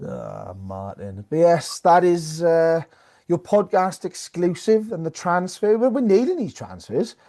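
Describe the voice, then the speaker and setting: A man's voice: sits high, big pitch swings, measured pace, mellow. A man co-hosting a sports podcast, chatting casually about rugby.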